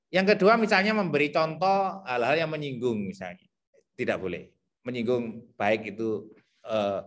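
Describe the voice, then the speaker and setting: A man with a thick Javanese accent explaining teacher competency criteria to colleagues, repeating himself and filling pauses as he thinks aloud. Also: mid-range voice, fairly bright tone. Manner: repetitive, thinks aloud with many fillers.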